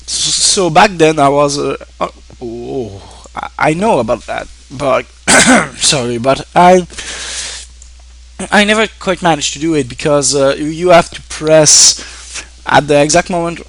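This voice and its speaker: A man from Quebec whose first language is French, speaking English with a Québécois French accent, talking casually to his viewers in a halting way with many fillers and restarts. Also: a little high, fairly bright tone, low-quality recording. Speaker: streamer. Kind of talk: casual thank-you talk to viewers.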